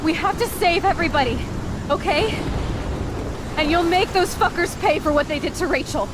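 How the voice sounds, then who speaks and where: A very high-voiced young American woman, a tough, loving punk in a video game drama. She talks bluntly and with deep feeling. Rain and thunder roar loudly behind her. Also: normal speed. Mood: tender, tearful. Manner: blunt, swearing, earnest. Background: rain, thunder, wind.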